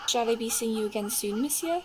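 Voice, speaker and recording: Woman as a coy, breathy young mare. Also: on the high side, animated, brisk, a little mellow, slight background noise, close to an everyday voice. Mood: coy, eager. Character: coy, eager-to-please young female pony performer. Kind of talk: audio drama acting.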